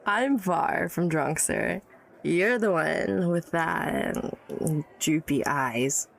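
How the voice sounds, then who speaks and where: A woman's voice: on the low side, animated, very slow, vocal fry, heavily acted. An actress playing an educated, tipsy, wry woman of early-1900s New York in a period audio drama, teasing and guarded. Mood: boastful, tipsy. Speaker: storyteller recalling her childhood.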